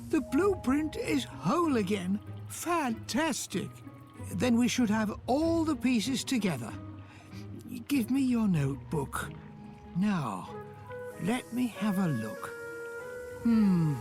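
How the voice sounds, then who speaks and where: A fussy old inventor. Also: male voice, high voice, heavily acted. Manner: explains patiently, slightly condescending. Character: fussy older male inventor, lecturing. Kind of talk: game voice acting.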